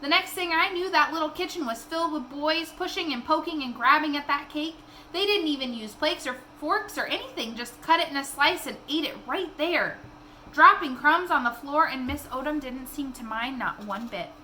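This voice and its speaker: A woman reading a children's novel aloud in an expressive storytelling style. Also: high voice, normal speed, a little hiss or hum.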